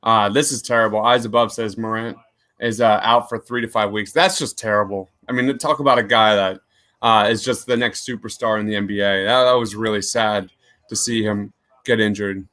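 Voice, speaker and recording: A man's voice: lowish voice, steady tone, normal speed, bright, forceful tone. Enthusiastic young man on a fan podcast. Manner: enthusiastic, reads viewer comments aloud. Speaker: podcast co-host. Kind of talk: live game reaction chat.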